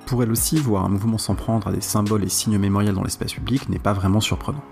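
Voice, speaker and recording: A male host reads a script at a fast clip. His voice sits fairly low and has a gravelly creak. Music plays clearly behind him. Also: fairly even intonation, a little mellow. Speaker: podcast host introducing guests. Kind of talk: scripted narration.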